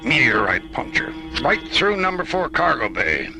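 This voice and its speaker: Sci-fi radio drama pilot: wry, edgy, lively inflection, some fry. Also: male voice, mid-range voice, normal speed, over music. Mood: wry, businesslike. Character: weary, wry male space shuttle pilot, defensive under pressure. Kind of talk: science fiction radio drama acting.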